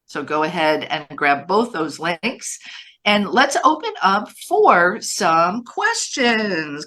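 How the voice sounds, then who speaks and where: A woman's voice: lowish voice, pushed and bright. A woman in her sixties hosting a live online webinar, upbeat and chatty as she takes callers' questions. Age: 60s. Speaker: host.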